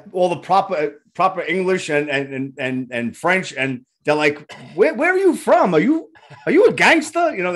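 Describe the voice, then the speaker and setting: A higher-pitched man in his fifties talks with a New York accent, full of streetwise, joking banter as he hosts. He speaks into a headset on a video call from a home studio. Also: fairly bright tone. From Queens, New York. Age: 50s. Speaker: host. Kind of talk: casual interview chat.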